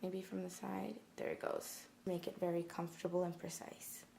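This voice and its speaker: A woman reviewing a product in a casual, chatty way, talking straight to her viewers, with a slightly raspy early-morning voice. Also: lowish voice, level tone, normal speed, dark tone, slight background noise. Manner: chatty, talks to viewers directly. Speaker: beauty vlogger.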